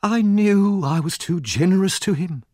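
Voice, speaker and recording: A man's voice: sits high, normal speed, a little mellow, no room sound, clean studio sound, voice pushed up, close to an everyday voice. A man voicing an indignant, self-pitying married woman with a dramatic manner in a storybook. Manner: dramatic, aggrieved. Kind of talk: storybook narration character.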